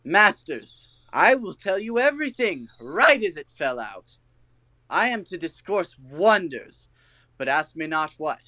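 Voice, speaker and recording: A man's voice: high voice, very animated, unhurried, bright, forceful tone, dry recording, full character voice. A man playing an exuberant, self-important amateur actor in a Shakespearean audio play, theatrical and bustling in delivery. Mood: self-important, eager.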